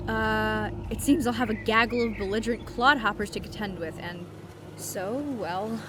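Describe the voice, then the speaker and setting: A woman's voice: mid-range voice, background noise, lightly acted. A woman voicing a chatty, scatterbrained young man in a comic fan dub, with flowery, eager speech that wanders into hesitant tangents. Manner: verbose, theatrical, hesitant tangents.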